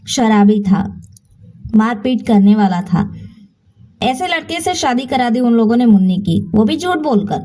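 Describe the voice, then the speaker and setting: Woman, high voice, highly melodic swings, expressive storytelling, earnest, scripted narration. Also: rough recording. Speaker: storyteller and channel host.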